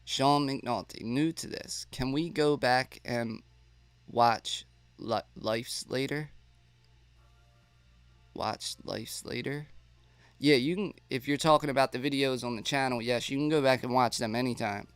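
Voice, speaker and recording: A man's voice: mid-range voice, unhurried, clear, resonant tone, no echo. A man with a stuffy nose hosting a casual live stream, chatting and reading viewer comments aloud.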